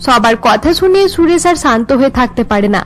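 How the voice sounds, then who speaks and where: A woman's voice: on the high side, expressive, fast, soft background music. A woman reading scripted narration for a cartoon family story.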